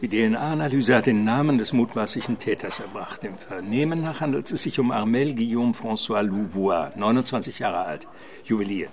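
An actor playing an erudite police deputy, dry and precise, in a radio crime drama. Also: male voice, mid-range voice, close to an everyday voice.

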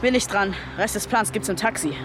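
An actor playing a cocky young man, a brisk and precise planner with a clipped, teasing manner, in a naturalistic German radio drama. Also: sits high, very noisy, close to an everyday voice. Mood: brisk, decisive.

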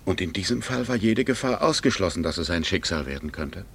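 An actor in a German radio drama playing a worldly, wounded, ironic gentleman with formal speech and a gravelly, husky voice. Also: low-pitched, expressive, brisk, a little hiss or hum. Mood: incredulous, jealous. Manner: ironic, formal, slipping into hushed murmurs.

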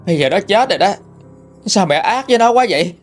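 A man's voice: high voice, expressive, fast, faint music behind, voice pushed up. A man voicing a grieving young mother who pleads in an anguished way.

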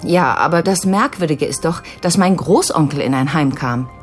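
A woman's voice: sits low, normal speed, soft background music, close to an everyday voice. An actress playing an earnest, insistent woman seeking answers about a relative in a naturalistic radio drama. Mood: troubled, insistent.

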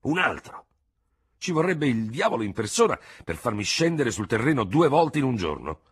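Brief: man doing a snappy, urgent young officer, husky and loud. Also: mid-range voice, sing-song, moderate pace, pitched above the performer's own voice. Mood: curt, urgent. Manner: terse, irritated. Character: curt, rattled young male army lieutenant.

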